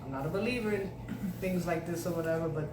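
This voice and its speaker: Radio host, woman, deep voice, brash and profane, echoey room far from the mic with noise behind. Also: fast talker. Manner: brash, swears a lot, teasing. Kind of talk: loud casual banter with cursing.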